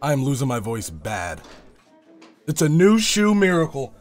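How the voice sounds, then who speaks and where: A young man chatting casually on a livestream, excitable and slangy, swearing freely. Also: medium pitch, moderate pace, soft background music. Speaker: gambling livestreamer. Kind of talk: casual livestream chat and reaction. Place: indoor room at a streaming setup.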